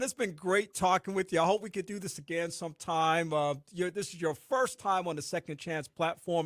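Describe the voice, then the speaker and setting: A man hosts a radio interview in a fairly high voice, friendly and quick to laugh. His voice sounds dry and close, with no room around it. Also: a bit bright, no echo. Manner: friendly, laughs often, drawn-out host phrasing. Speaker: radio show host. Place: radio studio.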